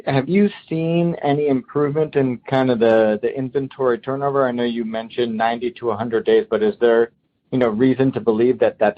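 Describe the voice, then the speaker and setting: A man speaking as a financial analyst, putting questions to executives on an earnings call. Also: mid-range voice. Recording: thin phone line.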